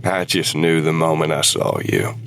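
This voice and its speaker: A man's voice: very deep voice, lively intonation, moderate pace, vocal fry, a bit bright, a little hiss or hum, full character voice. A man playing a weary older husband and war veteran, regretful but devoted, in an audio drama. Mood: wistful, regretful.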